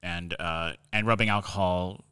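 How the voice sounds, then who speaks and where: A man co-hosting a casual podcast, speaking in deliberate, halting phrases. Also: low-pitched, steady tone, bright, forceful tone, smooth. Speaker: podcast co-host, quiz contestant.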